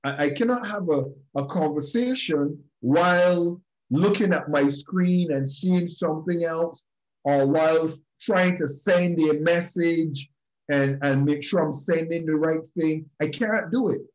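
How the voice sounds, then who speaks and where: A man's voice: on the high side, a little slow, a little mellow, rough recording. A man with a Barbadian accent speaking emphatically in a group session, repeating key phrases and addressing listeners directly, on a video call. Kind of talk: preachy motivational talk.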